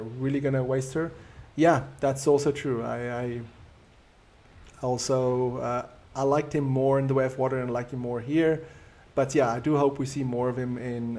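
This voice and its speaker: A man with a South African accent, whose first language is Afrikaans, hosting a live stream and answering viewer comments in a relaxed, reflective way. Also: medium pitch. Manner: reflective, easygoing and conversational.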